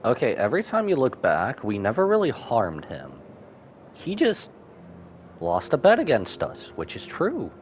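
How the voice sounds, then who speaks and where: A man's voice: mid-range voice, wildly swooping pitch, measured pace, a little hiss or hum. A man streaming a game with casual reaction commentary, informal, muttering asides and tossing out exclamations.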